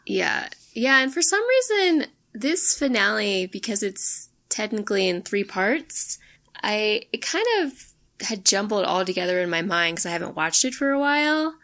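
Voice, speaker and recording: A woman co-hosting a podcast in casual chat, agreeable and quick to laugh. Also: medium pitch, sing-song, a bit bright. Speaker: podcast co-host.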